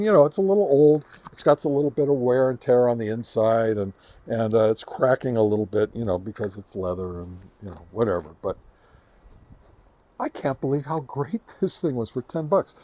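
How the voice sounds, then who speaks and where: A man's voice: mid-range voice, moderate pace, darkish tone, no echo. A man casually showing and explaining gear as host of a hobby review video, chatty and enthusiastic. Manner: chatty, enthusiastic about gadgets. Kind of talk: casual hands-on product demo and explanation. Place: home radio shack.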